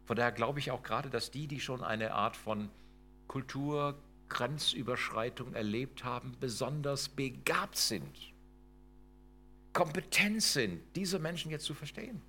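A man's voice: medium pitch, animated, unhurried, bright, forceful tone, a little hiss or hum. A middle-aged man giving a lecture, emphatic and full of rhetorical questions and tag questions. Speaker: lecturer on intercultural work. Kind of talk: lecture with slides.

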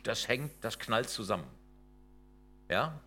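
A man's voice: mid-range voice, expressive, slow pace, pushed and bright. A middle-aged man giving a lecture, emphatic and full of rhetorical questions and tag questions. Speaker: lecturer on intercultural work. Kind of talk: lecture with slides.

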